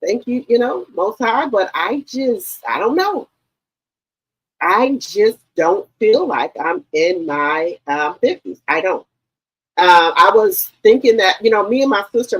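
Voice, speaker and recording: A woman's voice: mid-range voice, expressive, unhurried, slightly rough, poor audio. A woman in her fifties hosting a gossip livestream, chatting straight to her viewers. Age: 50s. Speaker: livestream host.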